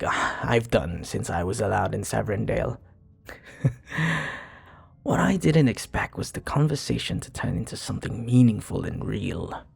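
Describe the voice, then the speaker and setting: A man's voice: lowish voice, creaky, husky, full character voice. A man playing a charming, sincere vampire bartender who flirts tenderly and hesitantly in an intimate ASMR roleplay.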